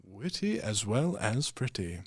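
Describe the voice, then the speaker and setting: Man playing a pompous, swooping gentleman war hero. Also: lowish voice, very animated, a little slow, slightly rough, no room sound, exaggerated voice. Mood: teasing. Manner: pompous, theatrical declamation. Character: vain, tormented gentleman war hero, engaged yet flirting. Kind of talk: radio play acting.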